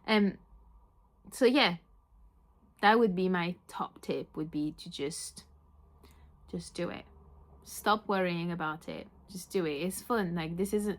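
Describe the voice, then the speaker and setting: A young woman whose first language is French, with an accent that mixes French and Yorkshire, chatting excitedly in a book vlog and saying "like" often. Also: lowish voice. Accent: French mixed with Yorkshire. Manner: chatty, says "like" a lot, excitable. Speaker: book vlogger.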